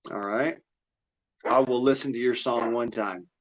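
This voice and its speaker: Casting: American man, mid-low voice, plainspoken and salty, rambling off the cuff; slightly dull, low-fidelity recording. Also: steady tone, unhurried. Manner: blunt, folksy, profane. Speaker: livestream host. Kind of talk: rambling casual monologue. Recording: dull, lo-fi audio.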